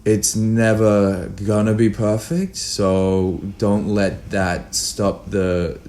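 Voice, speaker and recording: A man's voice: fairly low pitch, little pitch movement, extremely slow, darkish tone. A man speaking as an interview guest in a casual, candid, informal and blunt way. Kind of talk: casual chat.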